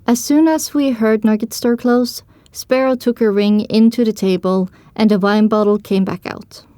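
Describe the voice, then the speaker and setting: A woman's voice: a little high, fairly even intonation, moderate pace, warm tone, no room sound. Female story narrator, dry studio sound. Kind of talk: first-person scripted story narration.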